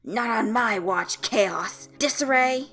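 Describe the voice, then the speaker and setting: A woman's voice: fairly high pitch, takes it slowly, a bit bright. A woman voicing a brooding, dramatic boy vigilante with a stern manner, over music. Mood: defiant challenge.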